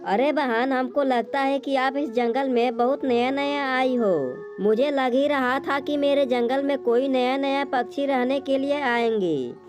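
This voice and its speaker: A woman voicing a sly, greedy male crow in a cartoon moral story, smooth-talking with false friendliness. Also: high voice, a little slow, hardly any pauses, over music. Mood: falsely friendly.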